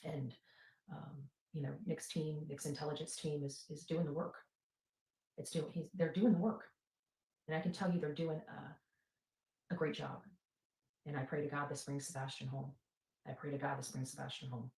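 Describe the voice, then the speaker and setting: A low-pitched woman hosts a casual livestream in a roomy, echoing space. She rambles to her followers and repeats phrases for emphasis. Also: fairly even intonation, moderate pace, long pauses, a little mellow. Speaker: livestream host and advocate.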